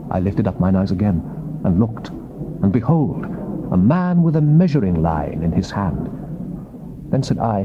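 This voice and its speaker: A man narrates scripture in a solemn, theatrical style, his pitch rising and falling widely as he declaims. The recording is a noisy old cassette tape with clear background noise. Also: muffled recording. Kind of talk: dramatized King James Bible reading.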